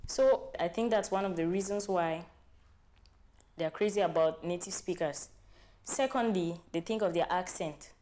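A woman teacher with a Cameroonian accent, calmly explaining her views in an interview. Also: lowish voice, moderate pace. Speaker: guest teacher. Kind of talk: interview answers. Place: small studio living-room set, indoors.

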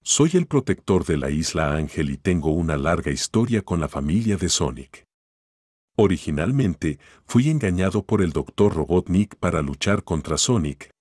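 A man voicing a tough, loyal red echidna guardian who chats in a friendly way on a scripted character call, his voice deep and husky. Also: darkish tone, no echo, studio quality. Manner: friendly, addressing a child listener in simple phrases. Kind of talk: scripted one-sided character phone call.

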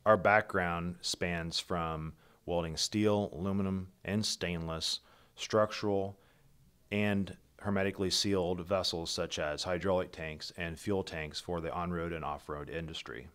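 Middle-aged male presenter, very low voice, steady and businesslike, keynote over slides. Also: a little slow, clear, resonant tone. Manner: steady, methodical, businesslike, with occasional slips and restarts. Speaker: company founder presenting.

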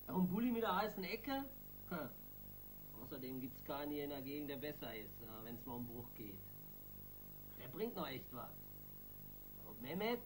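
An actor playing a defiant, sullen street gang boss under questioning in a radio crime play, with slangy speech. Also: male voice, sits high, a bit bright, slight background noise, rough recording.

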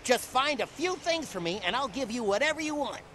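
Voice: high-pitched, lively intonation, fairly quick. An actor playing a folksy, greedy male trader in a game who bosses a kid around, over game music. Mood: hustling. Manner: folksy, hustling, bossy.

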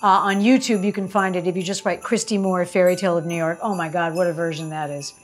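A woman's voice: fairly low pitch, fairly even intonation, fast, soft background music. A middle-aged woman speaking casually and enthusiastically as she introduces a performance to camera at a close microphone in a home kitchen. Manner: enthusiastic, effusive. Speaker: host introducing a song.

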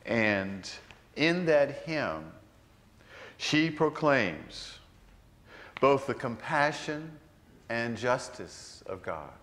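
An older man, a priest, delivering a sermon in a large church, slowing down to stress key phrases. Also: medium pitch, big pitch swings, fairly bright tone. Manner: unhurried, earnest preaching with slowed emphatic phrases.

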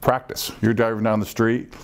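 A middle-aged man speaks in a deep voice with plenty of creak. He explains in a measured, instructive way, like an experienced controller talking on a panel in a meeting room. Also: flat delivery. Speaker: air traffic controller. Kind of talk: panel discussion, explanatory talk. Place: small meeting room, around a conference table.

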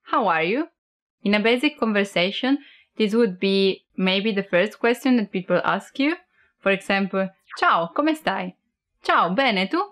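A woman's voice: medium pitch, a bit bright, no room sound, studio quality. A young woman from Italy with an Italian accent, giving a chatty, playful on-camera language lesson. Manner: chatty, playful, switches between English and Italian example sentences. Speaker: language teacher. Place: small indoor room, plain wall or flag backdrop. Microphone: camera framed head and shoulders.

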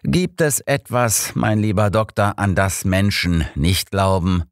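A man reading an elderly, learned detective in an audiobook, with a measured, formal, explanatory and calmly assured way of talking. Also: on the low side, dry recording, clean studio sound. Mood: lecturing.

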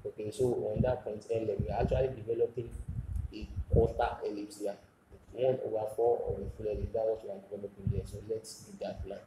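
Low-voiced man teaching in an echoey room. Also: flat delivery, fast talker. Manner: repeats phrases, asks and answers own questions. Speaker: technical drawing teacher. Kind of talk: step-by-step instructional demonstration. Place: indoors at a drawing desk. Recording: echoey room, noisy, with clicks.

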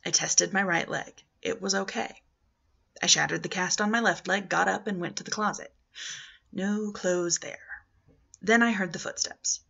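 A woman's voice: medium pitch, fairly even intonation, normal speed, vocal fry, pushed and bright, highs cut off. A woman in her thirties reading a novel aloud as scripted narration, recorded at home on a webcam. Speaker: amateur audiobook reader. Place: living room at home, indoors. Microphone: webcam or laptop at arm's length.

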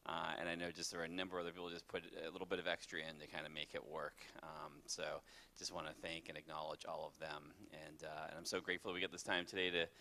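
Deep-voiced man with a cold. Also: flat delivery, fast, pushed and bright, a little hiss or hum. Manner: easygoing, filler-heavy hosting. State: sniffly with a cold. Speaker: moderator of a church discussion. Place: church sanctuary with a live audience. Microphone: handheld mic.

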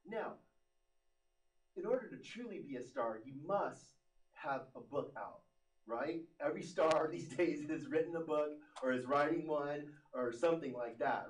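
Effusive male TV host in his forties. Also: medium pitch. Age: 40s. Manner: enthusiastic, effusive. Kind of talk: live TV show hosting. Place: TV studio set. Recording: roomy studio from a distance.

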